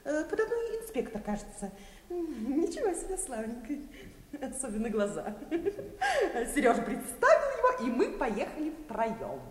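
Very high-pitched, gushing young lady. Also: huge pitch swings, moderate pace. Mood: excited chatter. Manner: gushing, theatrical, laughing chatter. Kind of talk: classic comic radio play acting. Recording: echoey hall reverb.

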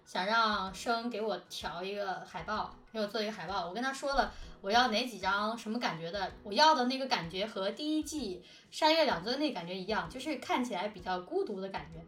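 A woman's voice: mid-range voice, lively intonation, a little fast, hardly any pauses, a bit bright, echoey room, recorded from a distance. A woman chatting casually and animatedly, giggly and talkative, as one of an audio drama team. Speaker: audio drama production team member, co-host. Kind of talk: casual behind-the-scenes chat.

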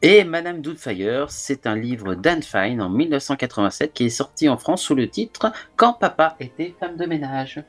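A man hosting a podcast quiz, reading out the questions in a measured, deliberate way. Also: mid-range voice, big pitch swings, fairly bright tone. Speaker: quiz host.

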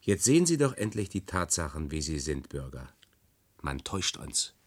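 An actor playing an urgent, persuasive rebel who refuses to be deceived in a German science-fiction radio play. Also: male voice, rumbling low, very animated, moderate pace, a little hiss or hum. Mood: urgent, exasperated. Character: insistent male rebel who sees through the deception.

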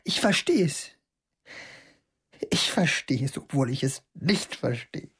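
An actress playing a young daughter who talks softly and earnestly to her mother in a radio drama. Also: very deep voice, animated, slow, breathy edge, exaggerated voice.

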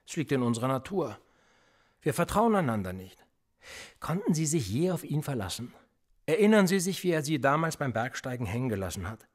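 A cool, manipulative police interrogator in a radio play. His voice is a little husky, and his pitch swings widely as he pushes a suspect with probing questions. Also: big pitch swings, fairly quick. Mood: pressing. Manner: probing and insistent, pressing with pointed questions. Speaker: police officer.

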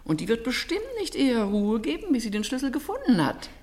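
A woman's voice: a little high, sing-song, a little fast, a little mellow. An actress playing a composed, suspicious middle-aged mother and mistress of the house in a German radio play.

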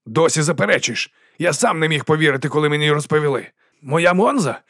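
A man's voice: on the high side, fast, a bit bright, no echo, studio quality, voice pushed up, natural voice. Man playing an imperious, accusing grand duke, raised voice. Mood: accusing, wounded. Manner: commanding, emphatic.

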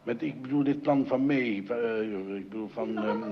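Actor as bumbling husband on a dull recording. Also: male voice, medium pitch, moderate pace, slight background noise, natural voice. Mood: curious, hesitant. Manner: muddled, hesitant, wryly naive. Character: bumbling, curious husband, eager and easily muddled. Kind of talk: Dutch radio comedy drama acting. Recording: dull old radio recording.

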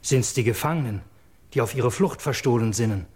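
An actor playing a wary, suspicious royal attendant, speaking formal verse earnestly in a husky voice in a classical radio drama. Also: male voice, medium pitch, a little fast, a little mellow, close to an everyday voice. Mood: troubled suspicion.

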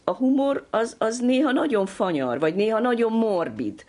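A woman's voice: fairly high pitch, expressive, a little mellow. An older woman speaking as an interviewed ethnographer, telling anecdotes and explaining in conversational interview talk.

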